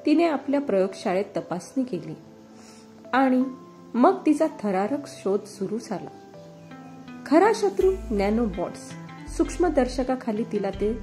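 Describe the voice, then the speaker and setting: A woman narrating a scripted moral story in a dramatic, expressive storytelling manner. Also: on the high side.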